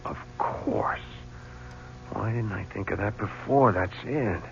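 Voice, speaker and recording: A man's voice: fairly low pitch, slow pace, gravelly. An actor playing a suspicious, agitated man accused of murder who distrusts everyone. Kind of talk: 1970s radio drama acting. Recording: dull old radio recording with faint hiss.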